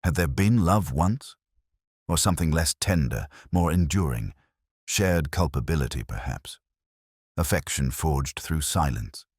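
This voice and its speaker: Very deep, brooding man playing an ageing detective. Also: lively intonation, darkish tone, husky, no echo, clean studio sound, exaggerated voice. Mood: brooding, reflective. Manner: measured, reflective, formal literary phrasing. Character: ageing male detective inspector, wry, brooding, literary. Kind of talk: scripted first-person detective narration.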